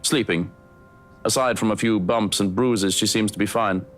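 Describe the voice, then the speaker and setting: A man acts out a composed butler for a visual novel. His voice sits low, and his delivery is formal and dryly deadpan. Quiet music runs underneath. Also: normal speed, natural voice.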